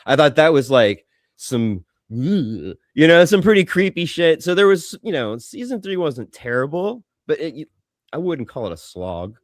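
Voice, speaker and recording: A man's voice: on the high side, very animated, a little slow, no room sound, studio quality. A man co-hosting a podcast with casual, opinionated banter, blunt and free with swearing, acting out his own reactions as quotes. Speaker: podcast co-host.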